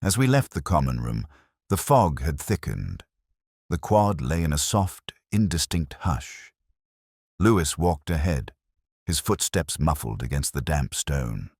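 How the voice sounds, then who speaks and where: Male narrator for detective fiction: extremely low voice, lively intonation, brooding and measured, close dry sound. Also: slightly rough, no room sound. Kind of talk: first-person scripted mystery narration.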